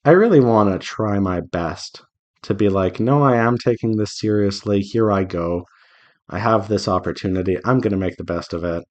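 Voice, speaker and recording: A man's voice: lowish voice, moderate pace, a little mellow. A man chatting casually to viewers as he works, thinking aloud in a rambling, self-reassuring way. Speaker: visual novel developer hosting a draw-along devlog. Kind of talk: casual solo chat while drawing.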